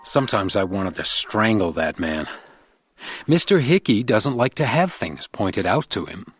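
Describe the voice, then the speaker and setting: A man playing a dogged, polite hotel detective in a radio drama, measured and dry in questioning and narration. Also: fairly low pitch, big pitch swings, slightly rough, dry recording, soft background music, exaggerated voice. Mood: wry, reflective. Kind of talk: radio drama dialogue and first-person noir narration.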